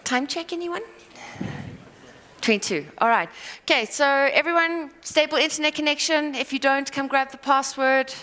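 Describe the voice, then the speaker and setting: A woman's voice: sits high, pushed and bright. Woman speaker on stage, chatty and self-mocking, echoey hall. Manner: self-deprecating, rambling, quick asides and apologies. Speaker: conference speaker. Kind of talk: live conference talk. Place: conference hall. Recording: hall reverb.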